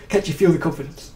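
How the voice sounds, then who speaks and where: A man's voice: on the high side, dark tone, reverberant, far from the mic. A man with a stuffed-up nose from a cold, chatting in a jokey, self-deprecating way while hosting a casual editing tutorial stream.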